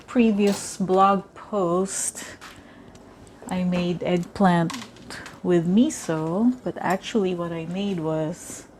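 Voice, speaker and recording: A woman's voice: fairly low pitch, a little mellow. A woman from the Philippines, whose first language is Tagalog, chats casually through a cooking demonstration, thinking aloud with many fillers. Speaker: cooking vlogger. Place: home kitchen. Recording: clear kitchen noise behind. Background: clatter of pots and cutlery.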